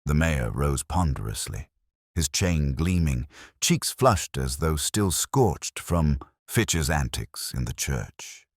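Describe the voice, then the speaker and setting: Very deep-voiced man narrating. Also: big pitch swings, no echo, clean studio sound. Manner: measured, literary storytelling. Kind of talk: scripted narration of a detective story.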